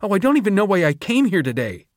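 A man's voice: high voice, sing-song, fast talker, no room sound, studio quality, voice pushed up. A man voicing a defiant, idealistic young man who speaks in a strained, heated way. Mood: outraged. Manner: strained, heated, spitting words out.

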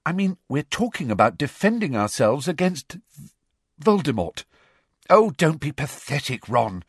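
A man raises his voice to play a clever, persistent teenage schoolgirl from a storybook reading, earnest and a little bossy. The voice sits well above his own, husky, with lively swoops of pitch. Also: normal speed, dry recording, clean studio sound. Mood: persuasive.

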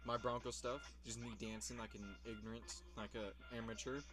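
A man's voice: fairly low pitch, level tone, fairly quick, over music. A young man in casual vlog commentary, rambling about his old videos, swearing casually and laughing at himself.

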